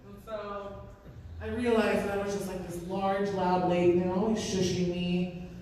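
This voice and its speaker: A woman in her thirties with a raspy voice, a singer-songwriter chatting on stage between songs in a live music venue, rambling and self-deprecating. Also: medium pitch, little pitch movement, normal speed, mellow, a little hiss or hum. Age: 30s. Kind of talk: casual stage banter. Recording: echoey room, mic from a distance.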